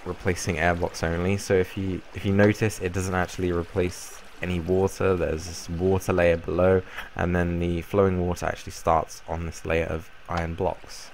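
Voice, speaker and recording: A young man with a croaky voice from a cold, casually explaining a game build in a rambling way. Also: low-pitched, normal speed, hardly any pauses, a little hiss or hum. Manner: relaxed, rambling explanation with fillers. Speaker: Minecraft YouTuber. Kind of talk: casual gameplay tutorial.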